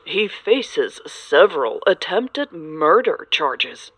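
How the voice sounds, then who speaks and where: A woman's voice: low-pitched, very animated, slow, breathy edge, dry recording, exaggerated voice, rough recording. A woman playing a serious, formal news reporter reading a scripted report in an audio drama. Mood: grave, formal. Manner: formal, grave.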